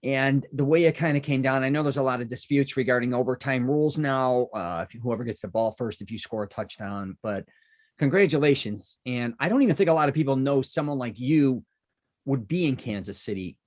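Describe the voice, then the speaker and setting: A man's voice: medium pitch, a little fast. A man from Chicago hosting a podcast, chatting casually and rambling with many asides and restarts. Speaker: podcast host. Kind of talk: casual interview chat.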